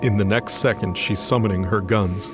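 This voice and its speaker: A man's voice: lowish voice, fairly even intonation, moderate pace, music in the background. A man narrating a scripted fantasy audio drama.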